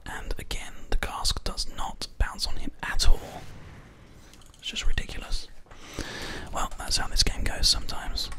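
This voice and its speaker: A gamer commentates on play in a breathy, unvoiced whisper, right up at a binaural mic. The delivery is ASMR, hushed throughout, with keys and mouse clicking behind it. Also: measured pace. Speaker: host, gamer. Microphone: close binaural microphone. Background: keyboard and mouse clicks.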